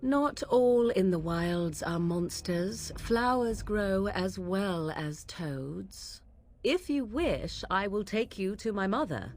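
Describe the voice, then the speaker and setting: A woman's voice: medium pitch, lively intonation, darkish tone. A woman voicing an aloof, mocking young witch who speaks in a haughty, archaic way, in a video game. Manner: haughty, archaic speech, slow and measured.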